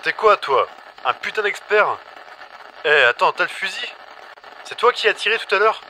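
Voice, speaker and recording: Through a noisy walkie-talkie comes an irritable male survivor, acted by a man, with a fairly high, very forceful voice. He is brusque, slangy and wary, in the manner of a post-apocalyptic audio drama. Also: animated, moderate pace, heavily acted. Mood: suspicious. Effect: walkie-talkie radio.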